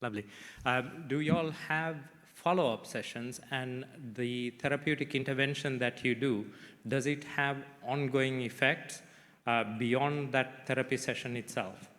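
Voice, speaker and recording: Monotone moderator in an echoey hall. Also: male voice, mid-range voice. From Sri Lanka. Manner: measured, with frequent "you know" fillers. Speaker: panel moderator. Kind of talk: moderating a panel discussion. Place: conference hall.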